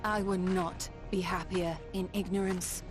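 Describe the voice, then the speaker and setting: A woman's voice: on the low side, level tone, a little slow, over music, close to an everyday voice. A woman playing a proud, wise sorceress and scholar in a fantasy video game, speaking in a composed, formal, archaic manner. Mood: composed, firm. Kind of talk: scripted video game drama acting.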